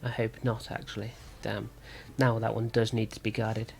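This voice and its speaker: A man with a sore throat and slightly rough voice, thinking aloud as he gives casual commentary on a card game. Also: fairly low pitch, monotone, normal speed, a little mellow, slight background noise. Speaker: gaming video host.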